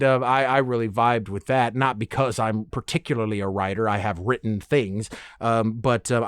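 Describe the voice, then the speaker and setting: A man's voice: medium pitch, no echo. Dry-sounding man, casual and emphatic. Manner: animated, emphatic, freely swearing. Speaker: podcast host. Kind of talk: casual solo podcast talk.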